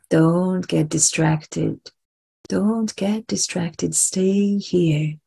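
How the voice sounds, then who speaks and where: A woman guides a meditation at an extremely unhurried pace. Her voice is soft and husky with a mellow, slightly low tone. She is soothing and deliberate, leaving long pauses between repeated phrases. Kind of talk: slow guided relaxation meditation.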